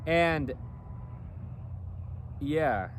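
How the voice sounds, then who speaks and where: A man's voice: fairly high pitch, expressive, very slow. Rambling man talking outdoors. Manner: rambling, keeps tagging "okay?" and "you know". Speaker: clothing brand vlogger. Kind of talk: casual outdoor monologue. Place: outdoors in a city. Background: faint traffic, airplanes and sirens.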